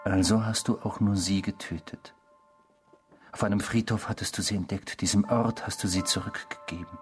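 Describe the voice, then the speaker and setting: A man's voice: low-pitched, steady tone, moderate pace, close to an everyday voice. A man acting a sinister, taunting inner tormentor, over music. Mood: softly menacing. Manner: insinuating, mocking, theatrical, sometimes hushed. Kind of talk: German radio drama acting.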